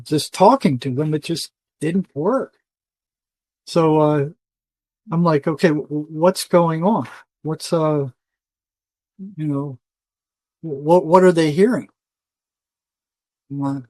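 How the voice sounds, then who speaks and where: Older man, higher-pitched voice, telling stories. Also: darkish tone, no echo. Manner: emphatic, colloquial, often quoting imagined dialogue. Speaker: interview guest, retired psychotherapist. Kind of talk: conversational interview storytelling.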